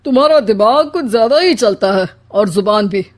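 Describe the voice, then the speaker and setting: A woman's voice: mid-range voice, expressive, moderate pace, faint music behind, lightly acted. A woman voicing a teasing older father-in-law in a comic cartoon story, with a dry, needling delivery.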